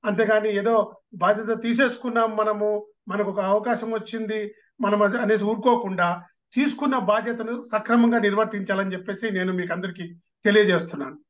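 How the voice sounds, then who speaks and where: High-pitched man, dull lo-fi sound. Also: steady tone, fast talker. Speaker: participant. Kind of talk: short impromptu practice speech.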